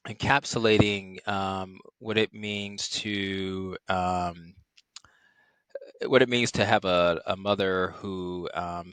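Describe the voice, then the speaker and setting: Really deep male voice, psychiatrist guest on a podcast, slow and careful with lots of ums, dry close sound. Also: fairly bright tone, no echo. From Chicago, Illinois. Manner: measured, many "um" fillers. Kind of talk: podcast interview.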